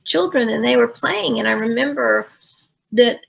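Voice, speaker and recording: A woman's voice: on the high side, animated, moderate pace, vocal fry, a bit bright, breathy edge, highs cut off. A woman in her sixties whose first language is French, telling personal stories as an interview guest in a heartfelt, rambling way. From Louisiana, USA. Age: 60s. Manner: heartfelt, rambling with many fillers.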